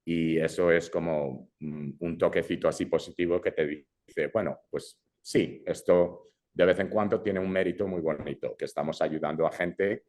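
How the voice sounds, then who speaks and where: A man's voice: deep voice, fairly even intonation, moderate pace, few pauses, darkish tone. A young adult man from Brazil who grew up in Madrid, a filmmaker talking casually in an interview, often dropping English fillers and restarting his sentences. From Brazil, raised in Madrid. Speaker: filmmaker guest.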